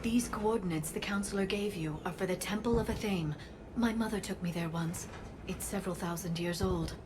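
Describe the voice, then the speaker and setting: A woman's voice: medium pitch, moderate pace, natural voice. A woman voicing an earnest, worried alien scholar in a video game, with ambient noise behind her.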